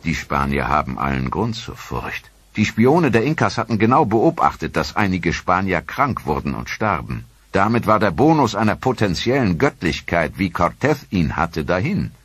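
A man narrating a history documentary in a dramatic, measured storytelling manner. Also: low voice, sing-song, a bit bright, slightly rough, dry recording.

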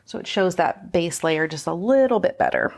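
A woman talks through a hands-on craft project in an informal, think-aloud way. Her voice sits a little low and has a clear creak to it. The delivery is chatty and unscripted, like a tutorial host working as she speaks. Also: lively intonation, normal speed, a little mellow.

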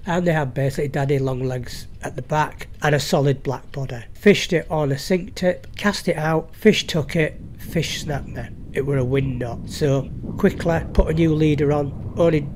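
A man's voice: fairly high pitch, a little hiss or hum. A man giving a chatty, matter-of-fact fishing recap outdoors by a lake, recorded on a head-mounted action camera. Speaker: fly fishing vlogger. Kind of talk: casual fishing commentary. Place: outdoors at a stillwater lake bank.

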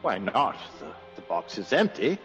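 An actor playing a puzzled, excitable country gentleman in a radio mystery, exclamatory and old-fashioned. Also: on the low side, normal speed, soft background music. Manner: exclamatory, bewildered, old-fashioned gentry speech. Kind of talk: radio mystery drama acting. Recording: dull, lo-fi sound.

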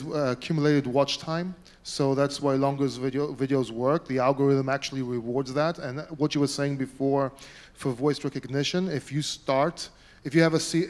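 A man on a conference panel, blunt and opinionated, speaking into a handheld mic on a large hall stage. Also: medium pitch. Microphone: handheld microphone.

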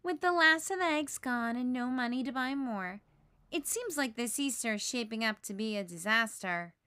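Woman, storybook reader, high pitch, bright tone, animated children's narration. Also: moderate pace, clear, resonant tone, no room sound. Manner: animated, dramatic. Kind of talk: expressive children's read-aloud narration.

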